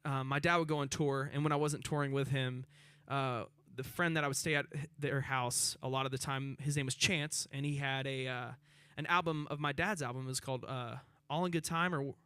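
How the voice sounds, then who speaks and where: A man's voice: mid-range voice, fairly even intonation, fairly quick, a bit bright, clean tone, dry recording. A man from Nashville, a singer-songwriter guest chatting loosely between songs in a radio station studio, laughing and using lots of fillers. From Nashville, Tennessee. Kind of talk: casual chat between songs. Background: acoustic guitar.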